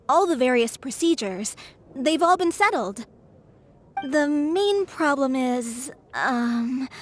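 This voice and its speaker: A woman voicing an earnest, anxious young woman who rescues animals, speaking politely and eagerly as a game character. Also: sits high. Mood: flustered. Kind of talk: English game dub voice acting.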